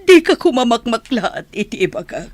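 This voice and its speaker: A woman's voice: fairly high pitch, very animated, brisk, no echo. An actress with an Ilocano accent playing an anguished mother in a radio drama. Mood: anxious, pleading. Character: anguished mother breaking terrible news to her son. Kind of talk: Filipino radio drama acting.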